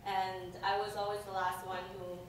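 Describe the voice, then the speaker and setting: A young woman with a Chinese accent, a former student giving a halting presentation full of ums and restarts. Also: mid-range voice, level tone. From China. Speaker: alumni speaker. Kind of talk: presentation talk with slides. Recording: echoey room from far off, noise.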